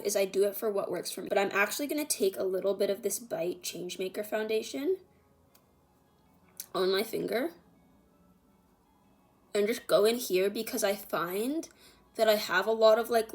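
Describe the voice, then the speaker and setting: A woman with a mid-range voice talks like a beauty vlogger walking viewers through a routine. Her delivery is casual and chatty, wandering and a little self-deprecating. Soft music plays faintly behind her. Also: medium pitch. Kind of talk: casual chatty makeup tutorial.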